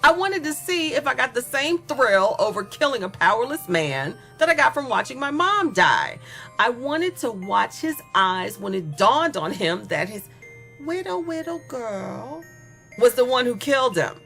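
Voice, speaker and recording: A woman's voice: fairly high pitch, big pitch swings, measured pace, vocal fry, a bit bright, full character voice. A woman playing a gleefully murderous college-age woman who taunts her captive in a chatty, mocking dramatic monologue for a horror audio story. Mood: gleeful menace. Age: young adult. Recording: music behind the voice.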